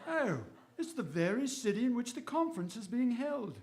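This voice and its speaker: Man acting a lilting, bewildered gentleman. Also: very high-pitched, sing-song, moderate pace, full character voice. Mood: polite, fretful. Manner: fussy, hesitant, polite. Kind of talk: radio drama acting.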